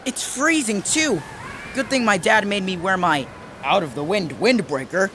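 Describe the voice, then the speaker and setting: A woman's voice: mid-range voice, expressive, unhurried, natural voice. A woman voicing a reluctant, sarcastic, artistic schoolboy who grumbles wryly in a children's audio drama. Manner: wry, grumbling. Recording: noisy outdoor ambience behind.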